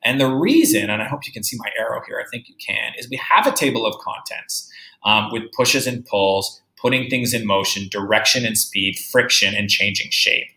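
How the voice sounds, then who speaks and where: Bright-voiced male teacher in a roomy space. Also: fairly low pitch, lively intonation, normal speed. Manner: instructive, emphatic, checking understanding with tag questions. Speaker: third-grade teacher. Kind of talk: read-aloud lesson for children, explaining and reading.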